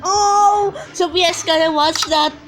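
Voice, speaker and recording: A woman's voice: very high voice, slow pace, fairly bright tone, faint music behind. A woman with a Filipino accent and Tagalog as her first language, bantering playfully and teasingly while commenting casually on a game. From Philippines. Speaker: gaming video co-host.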